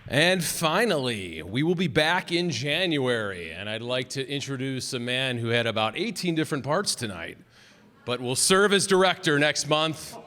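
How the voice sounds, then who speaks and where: Male presenter, mid-pitched, hesitant and unscripted, thanking people in an echoing hall with some crowd noise. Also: lively intonation, moderate pace, bright, forceful tone. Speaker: show presenter giving thanks and closing remarks. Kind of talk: informal live stage remarks. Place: live hall with an audience. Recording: reverberant hall with audience noise.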